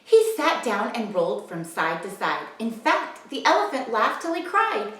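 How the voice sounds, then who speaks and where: A woman's voice: on the high side, very animated, normal speed, a bit bright. A woman reading a children's storybook aloud, theatrical and rhythmic with the rhymes. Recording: very echoey room.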